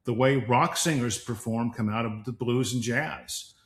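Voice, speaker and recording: A man's voice: medium pitch, unhurried. A man speaking as a guest music expert, laying out an explanation in a panel discussion, in a roomy recording. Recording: echoey, roomy sound.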